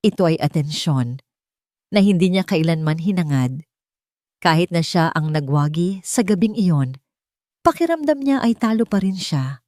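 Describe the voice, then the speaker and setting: A woman's voice: fairly low pitch, expressive, moderate pace, long pauses, a little mellow, no room sound, clean studio sound. A woman reading a scripted dramatic audiobook as the story's narrator.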